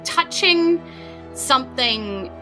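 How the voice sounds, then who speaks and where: Slow, bright-voiced New Zealand woman narrating. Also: high-pitched, expressive, soft background music. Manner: halting, searching for words, many likes. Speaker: game master. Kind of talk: narrating a tabletop game.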